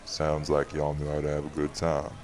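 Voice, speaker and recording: A man playing a courteous, protective man of authority in an audio roleplay, with a folksy, measured way of talking straight to the listener. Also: very deep voice, completely flat delivery, darkish tone. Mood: welcoming.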